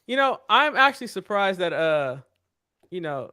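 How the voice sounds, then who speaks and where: A man's voice: sits high, sing-song, moderate pace, pushed and bright, clear, resonant tone, no room sound, studio quality. A man co-hosting a podcast, teasing his co-hosts in casual banter. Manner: teasing, good-natured ribbing. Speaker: podcast co-host.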